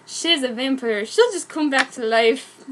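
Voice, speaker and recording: A woman reads the part of a brooding, protective young vampire boyfriend in a fanfic story. The voice is high and emphatic, with a declamatory edge. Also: lively intonation, unhurried, a bit bright, slight background noise, rough recording. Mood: reassuring.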